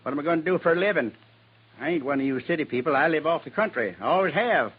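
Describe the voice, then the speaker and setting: A man's voice: on the high side, lively intonation, quick pace, breathy edge. An actor playing a proud, crippled buffalo hunter in his seventies in a radio western, with bitter, rustic, ungrammatical talk. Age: 70s. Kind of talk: 1950s radio western acting. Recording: dull old 1950s radio recording.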